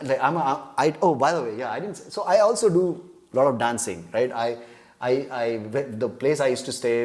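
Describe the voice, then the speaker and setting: A man, a guest telling rambling jokes in a casual chat and laughing often. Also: mid-range voice. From India. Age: 30s. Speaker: podcast guest.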